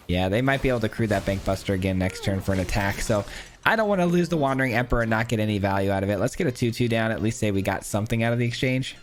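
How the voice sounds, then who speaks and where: A man with a hoarse, cracking voice gives casual commentary on his own card game, counting aloud and thinking through his plays. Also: lowish voice. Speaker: gameplay streamer. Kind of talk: casual live gameplay commentary.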